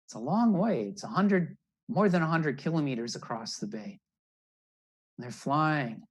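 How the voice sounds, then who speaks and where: A man's voice: fairly high pitch, animated, normal speed. An older man reading a children's picture story aloud and explaining it as a naturalist, recorded indoors on a video call. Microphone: video call computer microphone.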